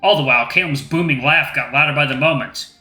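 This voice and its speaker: A man's voice: fairly high pitch, fast talker, bright, forceful tone. A man hosting a gaming channel, reading a visual novel aloud with casual asides to viewers. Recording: echoey room, faint music.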